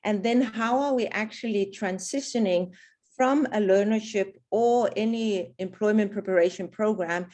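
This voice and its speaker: A woman's voice: mid-range voice, moderate pace, a little mellow. A woman presenting at an online webinar, speaking hesitantly with many restarts and fillers. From not South African. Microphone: video call.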